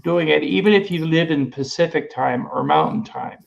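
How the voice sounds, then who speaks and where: A man with a high voice for a guy, a guest streamer explaining things in a plain, practical way. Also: normal speed, gravelly. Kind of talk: casual chat. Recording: dull lo-fi call audio.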